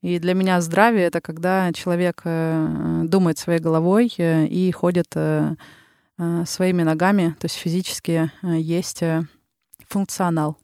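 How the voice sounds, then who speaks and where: Woman, 30s, Ural Russian accent; reflective podcast guest, lots of hesitations, smooth resonant voice, dry close sound. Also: on the low side, little pitch movement, moderate pace, darkish tone, dry recording. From Russia, the Urals. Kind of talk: casual interview talk.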